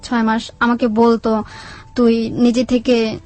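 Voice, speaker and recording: A woman with a Bangladeshi accent tells a personal story in a radio interview, haltingly, repeating words and quoting what people said. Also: fairly high pitch, flat delivery, darkish tone. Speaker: guest telling own life story. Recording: music under the voice. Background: background music.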